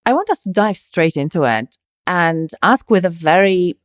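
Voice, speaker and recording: A woman with a fairly low voice hosts a podcast, recorded close and dry with no room sound. She is articulate and enthusiastic, reading a polished introduction and then asking her guest questions with open curiosity. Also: animated, moderate pace, clear, resonant tone, no room sound. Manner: enthusiastic, articulate, laughs easily. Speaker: podcast host.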